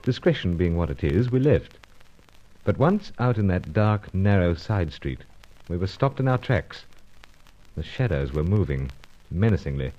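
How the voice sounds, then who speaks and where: An actor playing a suave, unflappable British secret agent in a radio adventure serial, speaking in terse, understated, clipped phrases. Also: male voice, sits low, very animated, unhurried, warm tone, lo-fi sound, heavily acted. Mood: wry, suspenseful. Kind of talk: old-time radio adventure serial narration and dialogue.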